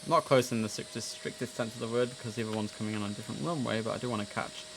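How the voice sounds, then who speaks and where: A man's voice: mid-range voice, fairly even intonation, very fast, creaky, noisy background. A man commentating casually on a simulator game, chatting and musing to himself, with clipped radio calls. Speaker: streamer playing an air traffic control simulator.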